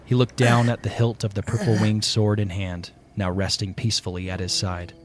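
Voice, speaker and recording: A man narrating a scripted fantasy audio play with dramatic, deliberate storytelling. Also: on the low side, flat delivery. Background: prominent music.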